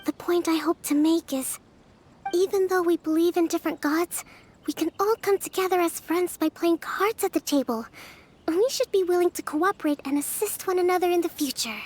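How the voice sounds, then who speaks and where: A woman voicing a gentle, thoughtful young leader in a video game, speaking politely and earnestly in measured phrases, with music behind her. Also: extremely high pitch, slight background noise. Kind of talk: video game dialogue acting.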